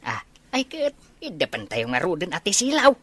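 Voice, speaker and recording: A woman voicing an easygoing older father in an Ilocano audio drama, low and husky. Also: huge pitch swings, normal speed, heavily acted.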